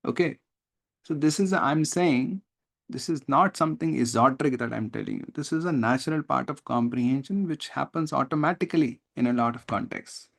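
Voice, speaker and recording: Indian male test-prep teacher, mid-pitched with gravelly fry, emphatic and deliberate, explaining live to students. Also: a bit bright. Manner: deliberate, emphatic word-by-word stress, rhetorical questions. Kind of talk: live online lesson, explaining reading comprehension.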